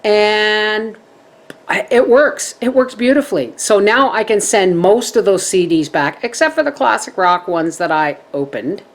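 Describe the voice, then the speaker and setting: A middle-aged woman chatting to her viewers as a homestead vlogger, telling stories in a lively way. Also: mid-range voice, unhurried. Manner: chatty, animated storytelling. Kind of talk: casual chat to viewers.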